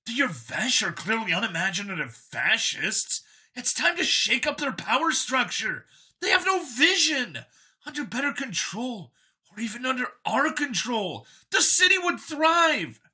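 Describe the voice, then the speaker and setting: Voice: medium pitch, sing-song, measured pace, bright, forceful tone, slightly rough, voice pushed up, exaggerated voice. A narrator voicing a smug, bigoted android copy of a man in an audiobook, with an eager, boastful, emphatic and sneering delivery. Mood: sneering, fervent.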